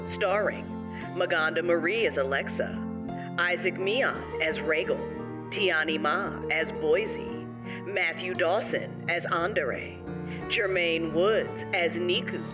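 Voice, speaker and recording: A woman announcer reading a scripted podcast outro with credits. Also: fairly low pitch. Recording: distant voice under loud music.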